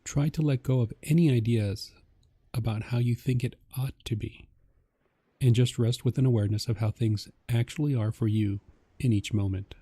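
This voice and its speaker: Male meditation guide: husky and deeply relaxed, soft, calm, measured instruction. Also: no room sound. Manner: gentle, calming, measured instruction.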